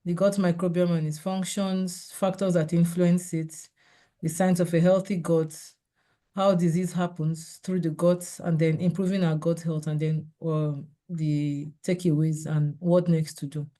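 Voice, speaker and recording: A hoarse woman with a cold gives a measured, explanatory seminar over a video call. Her voice is low and mellow, and she pauses to clear her throat. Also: little pitch movement. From Nigeria. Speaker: seminar speaker. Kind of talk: online health talk with slides.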